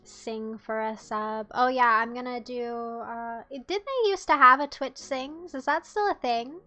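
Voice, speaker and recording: A woman's voice: on the high side, measured pace, pushed and bright. A young woman from the United States streaming live, chatting casually and teasing her chat in a playful way.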